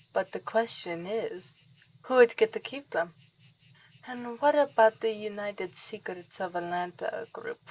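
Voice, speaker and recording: Vampire princess, played by a woman: slow, courtly, playful menace, ASMR drama, faint night insects behind. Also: mid-range voice, a little hiss or hum, rough recording. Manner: theatrical, formal, courtly phrasing. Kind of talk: ASMR roleplay acting.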